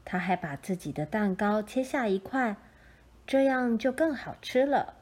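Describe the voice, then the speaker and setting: A woman narrating a children's story from a script in a gentle, expressive storytelling manner. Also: on the high side, unhurried.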